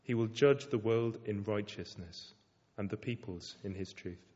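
Male scripture reader, measured and deliberate, mid pitch, dull audio in a reverberant hall. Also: a little mellow. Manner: slow, measured reading aloud. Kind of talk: scripture reading.

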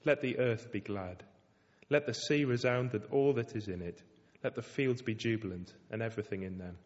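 Man reading scripture in an echoing hall. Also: fairly low pitch. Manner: slow, measured reading aloud. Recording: dull sound with long hall reverb.

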